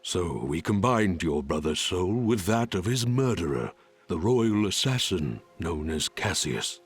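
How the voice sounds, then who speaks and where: An actor playing a solemn, wise old creature elder in a video game, grave and theatrical, with a husky voice. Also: male voice, low-pitched, sing-song, a little slow, soft background music, heavily acted. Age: older. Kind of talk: video game character acting.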